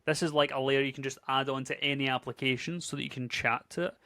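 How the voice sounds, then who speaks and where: Scottish guy in his 30s, tutorial host, chatty and upbeat, bit of fry, dry close sound. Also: medium pitch, steady tone, fast, sharp tone, no echo. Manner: chatty, upbeat, conversational with filler words. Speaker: tech YouTuber. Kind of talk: casual screen-share tutorial.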